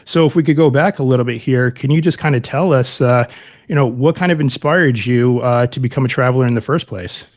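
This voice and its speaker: A man's voice: mid-range voice, brisk. Brief: male podcast host, casual, hesitant phrasing, many fillers. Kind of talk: casual interview.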